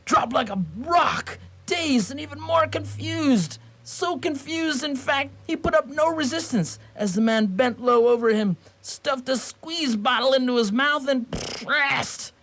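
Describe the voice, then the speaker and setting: A man narrating a scripted radio play as a theatrical, deliberate storyteller. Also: very high voice, fairly bright tone, low-quality recording.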